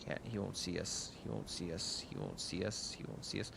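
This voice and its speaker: Low, very gravelly man streaming a game. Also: normal speed, slight background noise. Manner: playful, mutters and repeats phrases. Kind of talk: live game reaction commentary. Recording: loud game music behind.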